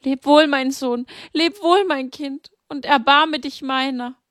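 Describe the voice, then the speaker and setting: A woman's voice: unhurried, no echo, clean studio sound. A woman voicing Mary, a grieving, devout mother who pleads in a raised, anguished voice. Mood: tearful. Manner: pleading, anguished, high-pitched.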